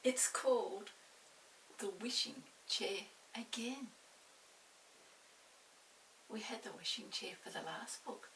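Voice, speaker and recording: A woman's voice: a little high, slow pace, long pauses, a bit bright. An older woman, a grandmother hosting storytime, reading to children with simple explanations and chatty questions. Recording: hissy, distant room microphone.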